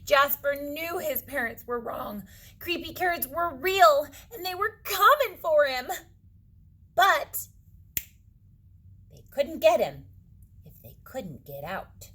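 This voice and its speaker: A woman reading a picture book aloud to children, dramatically, with hushed suspenseful moments and asides to her young listeners. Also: high-pitched, sing-song, takes it slowly, pauses often. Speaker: read-aloud storyteller for children.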